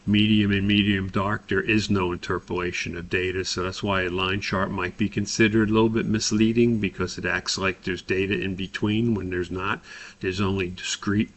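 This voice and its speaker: A male instructor with a low voice and a gravelly fry talks through an on-screen software lesson. His delivery stays quite flat as he explains each step and thinks aloud. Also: moderate pace, slight background noise. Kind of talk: spoken screen-recorded software tutorial.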